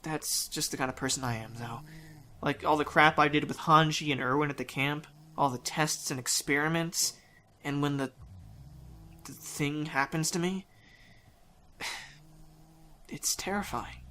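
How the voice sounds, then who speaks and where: A woman's voice: sits low, pushed and bright, slight background noise. A woman playing a blunt, earnest young man haunted by near death, in a casual style for an amateur anime-parody audio drama.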